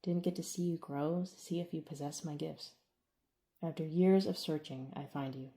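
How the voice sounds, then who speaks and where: A woman reads a stern older man who rules over a brutal arena, speaking in a measured, quietly commanding way. The voice sits low and dark, mellow rather than sharp. It sounds like a character read in an audiobook. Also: steady tone. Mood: cold, reflective. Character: stern older male alien arena master, cold and controlling.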